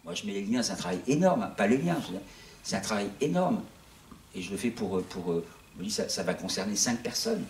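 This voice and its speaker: A man in his sixties talks loosely to a small audience, circling back and restarting his sentences. His voice sits on the low side. It comes from some distance off in an echoey room, with faint ambient noise behind it. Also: sing-song, fast talker. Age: 60s. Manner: rambling, with many restarts and fillers. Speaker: founder of an online news outlet. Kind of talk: casual talk to an audience.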